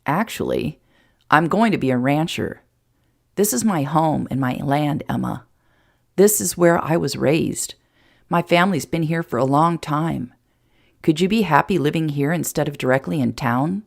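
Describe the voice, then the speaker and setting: A woman's voice: deep voice, animated, normal speed, vocal fry, full character voice. A woman voicing an earnest, lovestruck, plain-spoken small-town sheriff in an audiobook romance, fond and a little exasperated. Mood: sincere. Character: earnest, blunt, lovestruck adult male sheriff turned rancher.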